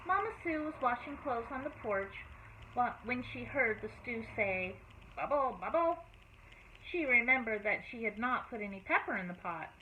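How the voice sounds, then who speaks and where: A teacher reading a picture book aloud to children, expressive and asking them questions along the way. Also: female voice, on the high side, normal speed. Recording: tinny phone-like audio, faint noise.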